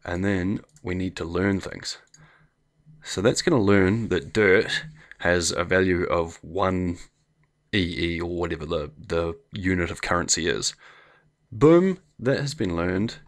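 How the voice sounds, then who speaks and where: A man with a New Zealand accent and a slightly croaky voice, chatting casually as he thinks aloud through a game. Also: sits low, unhurried. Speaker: gameplay video host. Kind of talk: casual let's play commentary.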